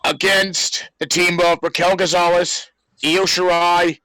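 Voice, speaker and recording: A man's voice: high voice, flat delivery, measured pace, sharp tone, no echo, rough recording. A man co-hosting a podcast in a casual chat about wrestling. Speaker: podcast co-host.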